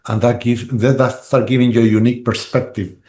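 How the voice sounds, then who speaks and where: A man's voice: mid-range voice, flat delivery, fairly quick, warm tone, roomy sound. A man, a guest on a podcast interview who rambles with restarts and keeps asking "right?". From Chile.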